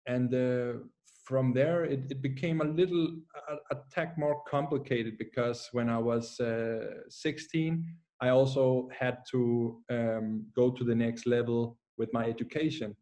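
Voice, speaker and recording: A young man from Denmark with a Danish accent, a footballer answering interview questions calmly over a video call, pausing often. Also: medium pitch. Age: young adult. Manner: calm, measured, with many "uh" pauses. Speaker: guest, professional footballer. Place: plain room at home.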